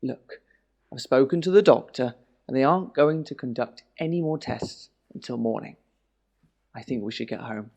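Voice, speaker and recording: A man playing a controlled, ruthless government minister in an audio drama, with a measured, coaxing way of talking. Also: mid-range voice, a little mellow, natural voice. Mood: soothing. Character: calm, ruthless male government minister hiding a conspiracy.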